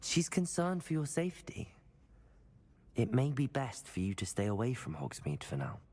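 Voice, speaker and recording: A man's voice: medium pitch, wildly swooping pitch, normal speed, darkish tone. A young man playing a composed, helpful teenage student in a fantasy game, polite and inquisitive. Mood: concerned. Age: young adult. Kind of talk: video game acting.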